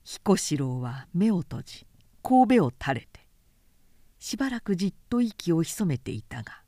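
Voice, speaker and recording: A woman narrating a literary audiobook in a measured, deliberate storytelling manner. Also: fairly low pitch, big pitch swings, warm tone, no room sound, studio quality.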